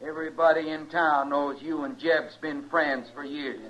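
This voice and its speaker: A man's voice: fairly high pitch, steady tone, normal speed, a crowd in the background, lightly acted. A man with an American accent playing a defiant, taunting mob leader with folksy speech in an old-time radio western. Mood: outraged. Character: belligerent rabble-rousing western mob leader, a male drifter. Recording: muffled old radio recording.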